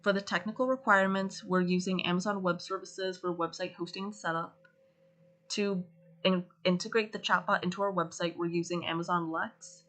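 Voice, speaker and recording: A female student presenting her group's solution, going through the features in an even, methodical way. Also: on the low side, moderate pace. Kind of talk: class project presentation.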